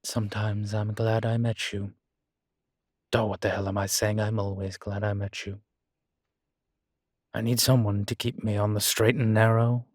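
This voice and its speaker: A man playing a guilt-ridden, gentle and wry ancient vampire in an intimate audio roleplay, speaking in a halting, reflective way. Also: lowish voice, little pitch movement, no echo, clean studio sound, natural voice. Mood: fond, amused. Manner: halting, reflective, with sighs and soft chuckles. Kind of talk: intimate one-sided audio roleplay acting.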